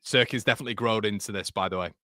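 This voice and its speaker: A man's voice: on the low side, steady tone, racing, bright, forceful tone, dry recording, studio quality. A man with a Yorkshire accent, hosting a live football watchalong, calling the play and chatting with viewers in the live chat between moves. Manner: chatty, reads live chat, laughs at own jokes. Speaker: watchalong host and commentator. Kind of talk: live match watchalong commentary.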